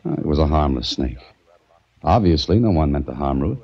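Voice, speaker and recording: An American man playing a calm, inquisitive adventurer, narrating a mystery in measured 1940s radio style. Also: a bass voice, slightly rough, full character voice. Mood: probing, concerned. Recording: muffled old-time radio recording, music behind.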